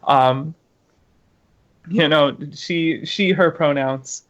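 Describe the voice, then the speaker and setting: A woman guest chatting casually on a podcast, halting and searching for words with many fillers. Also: low-pitched. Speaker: guest gamer and streamer. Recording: dull lo-fi remote audio.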